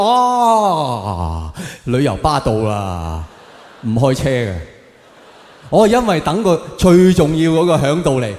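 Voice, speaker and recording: A man with a Hong Kong Cantonese accent performing stand-up to an audience, telling stories in a theatrical, exaggerated way with drawn-out punchlines. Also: high-pitched, a little mellow. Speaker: stand-up comedian. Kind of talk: stand-up comedy monologue to an audience. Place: large live performance venue. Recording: echoey hall with long reverb, faint noise.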